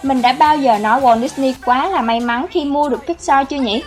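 A woman's voice: high-pitched, brisk. A woman reading scripted narration as the host of a countdown video. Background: background music.

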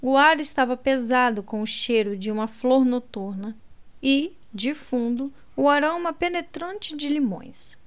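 A woman narrating a novel as an audiobook in a calm first-person reading. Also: sits high. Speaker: audiobook reader.

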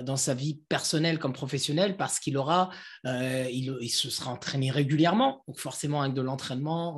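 A man's voice: fairly high pitch, darkish tone. A man hosting a casual podcast, asking long, rambling questions with many fillers. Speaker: podcast host.

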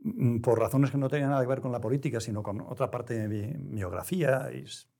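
A middle-aged man who is a writer, talking reflectively in an interview, choosing his words with pauses and restarts. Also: medium pitch, gravelly, dark tone. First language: Spanish. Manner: measured, searching for words, frequent restarts. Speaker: novelist, interview guest.

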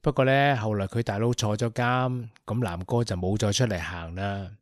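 A man's voice: on the low side, normal speed, dry recording, clean studio sound, close to an everyday voice. A man with a Hong Kong Cantonese accent playing a talkative, teasing young office worker in a radio drama.

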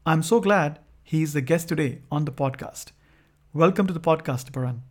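Podcast host guy, kinda high voice, polite formal questions. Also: moderate pace. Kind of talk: reading a guest introduction, asking questions.

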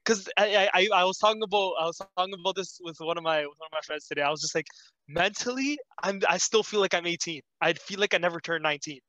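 A man's voice: high voice, fast talker, pushed and bright, no echo. A twenty-year-old man talking earnestly in a casual chat about student life. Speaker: student podcast co-host.

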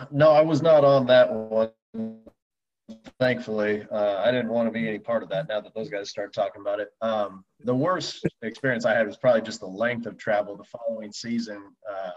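The recording is dull and limited in detail. A man with a fairly low voice speaks as a podcast guest, casually recalling his experiences in conversational phrases. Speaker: podcast guest, former baseball player. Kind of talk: casual recollection. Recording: dull, lo-fi sound.

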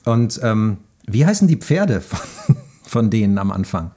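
A man's voice: lowish voice, sing-song, a little slow, mellow, slight background noise. A man hosting a podcast, chatting casually about films and running a quiz. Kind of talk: casual film chat.

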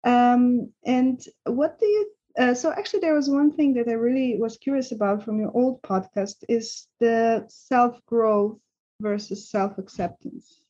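A podcast host from Serbia, a woman with a highish, mellow voice. She speaks softly and attentively and asks thoughtful questions. The recording sounds dull and lo-fi. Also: measured pace. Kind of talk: casual interview chat.

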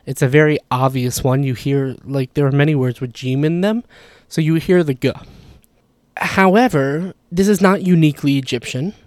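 A man's voice: fairly high pitch, normal speed, dry recording, studio quality. A man casually explaining language features, chatty and self-deprecating, with apologetic asides and a Levantine Arabic background. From Levantine, Palestinian or Jordanian Arabic background. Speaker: language educator.

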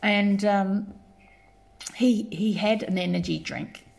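A woman's voice: medium pitch, slow, creaky, darkish tone. A woman raised in New Zealand, a podcast guest explaining her community work in an earnest, storytelling way with many restarts. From born in London, grew up in New Zealand. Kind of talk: conversational podcast interview.

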